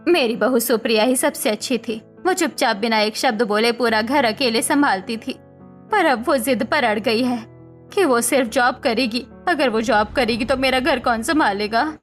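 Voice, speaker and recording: A woman voices a middle-aged mother-in-law from a cartoon family story. She sounds burdened and plaintive in a high register, with light music in the background. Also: moderate pace, lightly acted. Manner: complaining, plaintive. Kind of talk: Hindi cartoon family melodrama acting.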